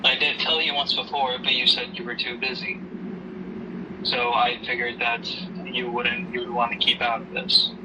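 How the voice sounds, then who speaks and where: A man acting as a protective father in grief, heard a little away from the mic through clear background noise. He talks gently and haltingly, reassuring his daughter in a homemade audio drama. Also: medium pitch, level tone, close to an everyday voice. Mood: somber. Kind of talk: amateur audio drama dialogue. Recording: noisy hobby recording, distant mic.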